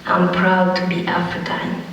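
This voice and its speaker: Slow, flat voice far off in a hall. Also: medium pitch, vocal fry, dull audio, slight background noise. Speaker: young refugee telling own story. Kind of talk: reading a prepared story and poem. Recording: distant voice in a reverberant hall.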